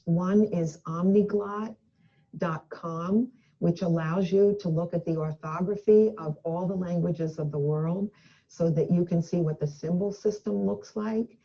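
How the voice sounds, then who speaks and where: Woman expert talking earnestly in an old dull-sounding video clip, low dark mellow voice. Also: a little slow. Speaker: education expert. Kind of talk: recorded expert talk.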